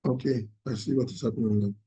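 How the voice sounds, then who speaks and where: A man from Ghana with a hoarse voice, presenting a seminar over a call and talking in a hesitant, apologetic way. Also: mid-range voice, fairly even intonation, quick pace, warm tone. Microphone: online call audio.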